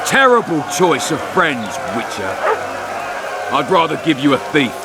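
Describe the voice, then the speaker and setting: Brash, sneering town commandant, loud music behind. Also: male voice, a little high, very animated, measured pace, creaky, over a noisy crowd, heavily acted. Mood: wry, threatening. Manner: bullying, theatrical, sardonic. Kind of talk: video game cutscene acting. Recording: loud game soundtrack behind voice.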